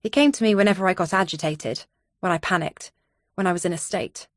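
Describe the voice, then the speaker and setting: A woman's voice: on the low side, a little fast, no room sound, clean studio sound. A woman reading scripted first-person thriller narration as an audiobook narrator.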